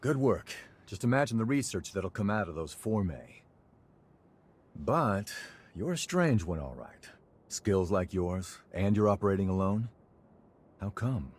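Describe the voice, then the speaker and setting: A man's voice: fairly low pitch, lively intonation, unhurried, slightly rough. A male actor playing a brusque, hot-tempered young guard in a video game cutscene, talking bluntly and impatiently. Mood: wry, curious. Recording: game audio with background music.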